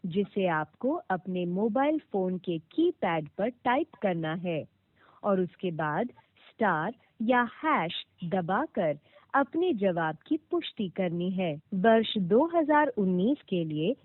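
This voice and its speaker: A woman reading scripted automated phone prompts with formal, clearly enunciated instructions, heard over a narrow phone line. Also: medium pitch, very animated, slow pace, dry recording. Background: played back from a recorded call.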